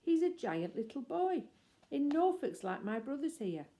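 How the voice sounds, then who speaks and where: Storybook girl, played by a woman: high, earnest, eager to persuade. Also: very animated, unhurried, dark tone, full character voice. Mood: persuading.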